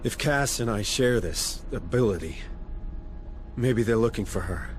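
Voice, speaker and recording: An actor playing a gruff, stubborn middle-aged marine sergeant and troubled father in video game dialogue, blunt and sardonic. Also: medium pitch, lively intonation, unhurried, a little hiss or hum, lightly acted.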